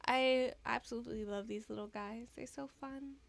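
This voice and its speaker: A woman's voice: a little high, fairly even intonation, moderate pace, gravelly. A woman live streamer chatting casually with her viewers, giggly and rambling. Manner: giggly, rambling, soft-spoken.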